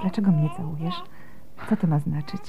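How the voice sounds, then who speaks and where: An actress playing a devout, gentle young Byzantine wife and mother in a radio drama, speaking softly and earnestly. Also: sits low, very animated, fairly quick. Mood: tired, tender.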